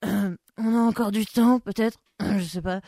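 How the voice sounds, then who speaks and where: A woman playing a hoarse, throat-clearing singing teacher. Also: mid-range voice, fairly even intonation, moderate pace, dark tone, no echo, studio quality, lightly acted. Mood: flustered. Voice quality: gravelly, keeps clearing her throat. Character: hoarse, flustered female singing teacher after an all-nighter. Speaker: singing teacher in an amateur radio play. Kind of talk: amateur radio play acting.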